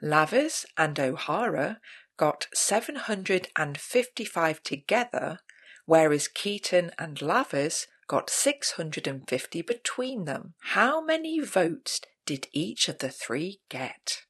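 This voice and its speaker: Slow, lively woman hosting a quiz. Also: on the low side, breathy edge. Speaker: quiz host. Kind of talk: scripted pub quiz narration.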